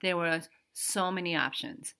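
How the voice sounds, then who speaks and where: A woman in her thirties from the Dominican Republic, speaking English with a Dominican Spanish accent as a podcast cohost, hesitant and pausing to find words, often laughing. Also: on the low side, pushed and bright. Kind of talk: casual podcast conversation.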